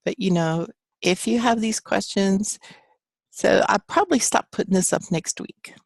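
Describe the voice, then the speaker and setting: A woman's voice: mid-range voice, normal speed, creaky, slightly rough, dry recording, rough recording. A woman teaching a live online class from her home office, chatting with students and reading their answers aloud in an encouraging way. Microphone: computer mic on a video call.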